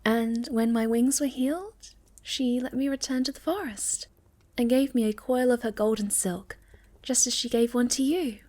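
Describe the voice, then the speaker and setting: A woman acts out a sweet young moth girl with a fairly high voice. She is shy and hesitant, telling her story softly and intimately as ASMR roleplay. Her gentle speech often sinks into a breathy near-whisper. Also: normal speed, lightly acted. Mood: tender, wistful.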